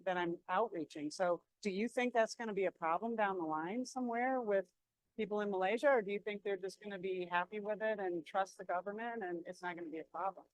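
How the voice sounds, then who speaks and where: A woman's voice: mid-range voice, dry recording, low-quality recording. Woman presenter answering questions. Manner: quick, repeats words when restarting. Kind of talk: conversational Q&A.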